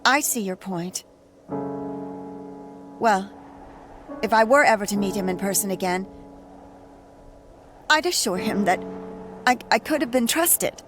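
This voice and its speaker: A woman playing a sincere, gently hesitant love interest in an audio drama. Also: mid-range voice, lively intonation, measured pace, pauses often, natural voice. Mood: tender, hurt. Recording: music under the dialogue.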